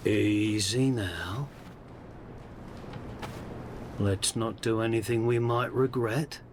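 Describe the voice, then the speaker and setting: Slow-talking wry wartime secret agent. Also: male voice, lowish voice, fairly even intonation, noisy background. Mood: wry, guarded. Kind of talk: game cutscene dialogue.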